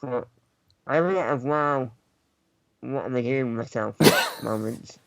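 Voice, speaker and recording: A man on a gaming podcast panel, talking casually in short, halting pieces. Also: medium pitch, vocal fry, fairly bright tone, a little hiss or hum.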